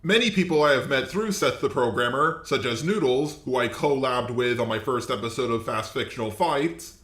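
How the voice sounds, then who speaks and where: A man delivers informal scripted commentary in a medium-pitched voice. He is casual and blunt, and the room adds noticeable echo around his words. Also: normal speed, fairly bright tone. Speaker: host, commentator.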